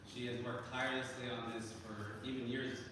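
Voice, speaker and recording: A man giving an informal introductory speech as a faculty advisor, halting and searching for words. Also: medium pitch, little pitch movement. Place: large performance hall. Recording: very echoey hall, distant mic, clear background noise.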